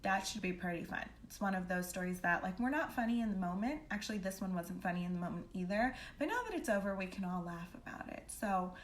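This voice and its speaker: A woman casually telling a personal story in an animated, slangy, heavily profane way, acting out the dialogue as she goes. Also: medium pitch, fast talker, hardly any pauses. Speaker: storytime vlogger.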